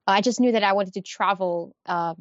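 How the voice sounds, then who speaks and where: A woman in her thirties from Haiti, a guest speaking in a relaxed, reflective way with easy laughter. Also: medium pitch, moderate pace, no room sound. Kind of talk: conversational interview. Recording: dull dry remote line.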